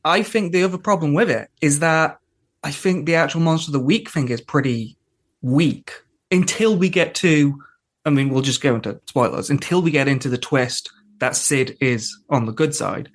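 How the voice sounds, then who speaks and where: A man's voice: on the high side, moderate pace. Rambling man chatting on a podcast. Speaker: podcast host. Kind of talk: casual TV episode discussion.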